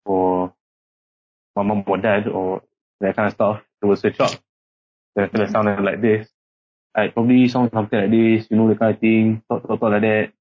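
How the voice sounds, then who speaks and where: A man's voice: fairly low pitch, flat delivery, moderate pace, long pauses. A young man who grew up in Singapore, talking casually with a British accent and rambling through many fillers. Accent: British English, code-switching from Singaporean. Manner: rambling, heavy use of filler words. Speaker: podcast guest. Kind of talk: casual chat. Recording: muffled lo-fi podcast audio.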